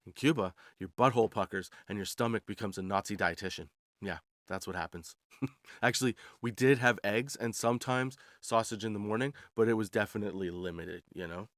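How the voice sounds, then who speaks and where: A man's voice: mid-range voice, a bit bright, no echo, studio quality. A man in his forties telling stories in a casual, slangy, comedic way, as a podcast host amused by his own jokes. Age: 40s. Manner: slangy, ends remarks with "dude" and "right". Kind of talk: casual comedic storytelling monologue.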